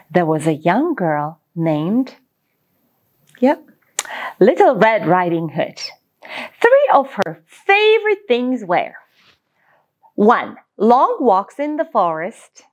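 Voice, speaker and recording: Female children's storyteller: expressive, swooping intonation, unhurried delivery, playful asides. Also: medium pitch.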